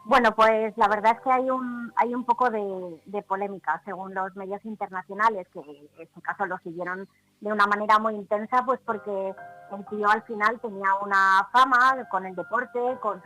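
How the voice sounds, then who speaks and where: A woman's voice: mid-range voice, steady tone, moderate pace, sharp tone, rough recording. A woman, chatting casually and explaining hesitantly as a radio contributor. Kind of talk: casual chat commentary. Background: faint background music.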